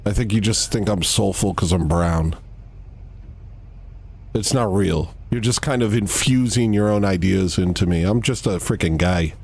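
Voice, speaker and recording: A man hosting a livestream, joking his way through an improvised comedy skit in a deadpan, playful manner. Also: moderate pace, slightly rough. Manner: jokey and deadpan, with playful swings in pitch. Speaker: livestream host.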